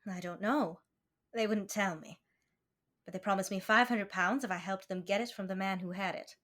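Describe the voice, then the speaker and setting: An actress playing a dishonest, weary femme fatale in a 1940s-style radio play, coy and hesitant. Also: female voice, medium pitch, moderate pace, a bit bright, natural voice. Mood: coy, guarded.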